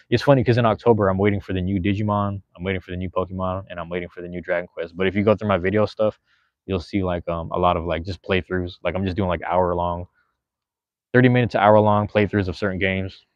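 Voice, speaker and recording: A man's voice: low-pitched, no echo. Laid-back man in his thirties, dull lo-fi sound. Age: 30s. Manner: rambling, laid-back, slangy, swears freely. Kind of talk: casual chat.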